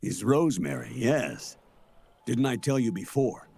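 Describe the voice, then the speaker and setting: An actor playing a weary, defensive older colonel in a video game, husky and measured in delivery. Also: male voice, mid-range voice, lively intonation, a little mellow, heavily acted. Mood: somber. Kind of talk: dramatic video game voice acting.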